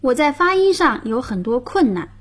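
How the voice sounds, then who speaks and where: A woman's voice: sits high, sing-song, moderate pace, husky. A woman hosting a radio language lesson, patiently explaining grammar and prompting her partner.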